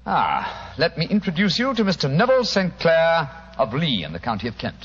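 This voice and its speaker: An actor playing an incisive, dryly witty British gentleman detective in a theatrical, clipped 1940s radio drama style. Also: on the high side, wildly swooping pitch, moderate pace, husky, exaggerated voice. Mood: commanding. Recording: muffled old radio recording.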